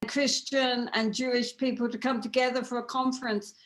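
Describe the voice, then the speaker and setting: A woman with a slightly high voice speaks slowly and clearly over a video call. Her manner is gentle and unhurried. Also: flat delivery. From lives in India. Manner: slow, clear, gentle speech. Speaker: interviewee. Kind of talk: casual video call chat.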